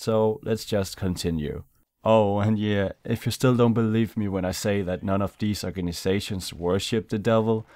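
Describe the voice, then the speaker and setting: Laid-back young Danish-speaking man, low voice. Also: a little mellow, clean tone, no room sound. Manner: laid-back, chatty, says "man" and "you know". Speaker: YouTuber. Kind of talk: casual commentary over gameplay.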